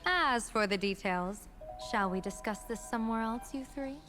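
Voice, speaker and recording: Composed, mysterious game lady voiced by a woman, politely formal, higher pitch, clear music bed. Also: moderate pace, natural voice. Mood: smooth, courteous. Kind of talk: scripted video game voice acting.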